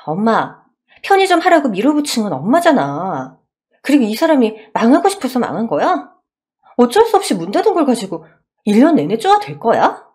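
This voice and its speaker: A woman's voice: on the high side, huge pitch swings, normal speed, darkish tone, pitched above the performer's own voice. A woman voicing a protective young wife in a radio story drama, reproachful and emphatic as she defends her husband. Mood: indignant.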